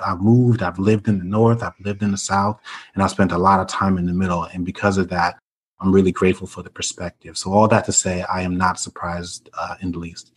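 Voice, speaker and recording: Man, panel guest, very deep husky voice, thoughtful, hedging. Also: a bit bright. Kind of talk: reflective discussion.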